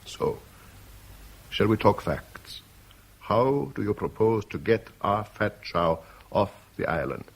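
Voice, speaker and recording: Gravelly, suave crime boss. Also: male voice, on the low side, very animated, heavily acted. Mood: smooth, sardonic. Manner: formal, ironic, measured. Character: suave, calculating male crime boss, mocking and courteous. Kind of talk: 1970s British radio drama acting. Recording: noisy vintage radio broadcast recording.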